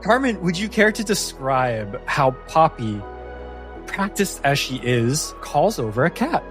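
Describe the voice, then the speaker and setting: A man narrating a tabletop fantasy story as game master, in deliberate, suspenseful fragments. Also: a little high, big pitch swings, fairly bright tone. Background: background music.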